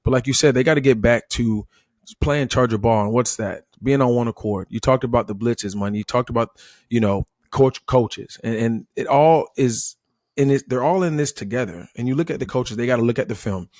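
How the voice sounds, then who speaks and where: A man's voice: mid-range voice, normal speed, darkish tone, dry recording, clean studio sound. A man from Florida giving conversational football analysis like a coach, emphatic and checking in with rhetorical questions. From Florida, United States. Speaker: former player turned football analyst.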